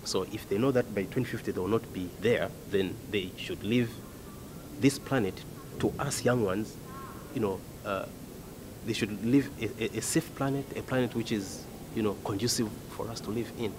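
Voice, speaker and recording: Young man, earnest halting delegate. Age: young adult. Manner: earnest, halting with many fillers. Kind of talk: documentary interview. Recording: noisy background rumble.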